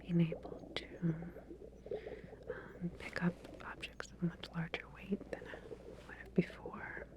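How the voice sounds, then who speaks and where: Woman, whispered delivery, unhurried pace, soft reassuring clinician manner, ASMR medical roleplay. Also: lowish voice, completely flat delivery. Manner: soft, hesitant, explanatory, reassuring clinical talk. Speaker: ASMR roleplayer playing a lab researcher.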